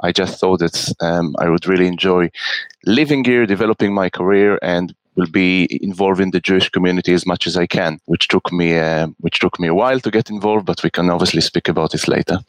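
Man in his thirties, podcast guest: very low voice, measured, dry close sound. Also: steady tone, no room sound. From Israel. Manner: measured, repeats words while searching. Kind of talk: conversational interview answers.

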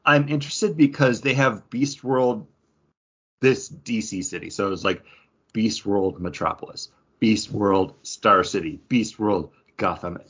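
A man co-hosting a podcast in casual chat, rambling and animated with lots of restarts. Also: lowish voice, a little slow, slightly rough. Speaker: podcast co-host. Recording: dull lo-fi podcast audio.

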